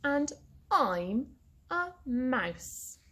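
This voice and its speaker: A woman's voice: high-pitched, very animated, very slow, a bit bright, pitched above the performer's own voice, full character voice. A woman voicing a small, cheeky mouse in a children's storybook, speaking lightly and pleading. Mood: matter-of-fact.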